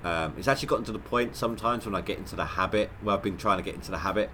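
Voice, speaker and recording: A man's voice: lowish voice, very fast, bright, forceful tone, slight background noise. A man with a head cold, sounding nasal, giving rambling, stop-start commentary on his gameplay livestream. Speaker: gaming livestreamer.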